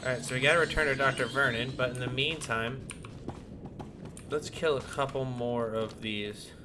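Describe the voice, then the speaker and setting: A man's voice: mid-range voice, steady tone, normal speed. A man giving casual gameplay commentary, thinking aloud in a chatty, playful way. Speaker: gaming streamer. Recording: clear background game noise.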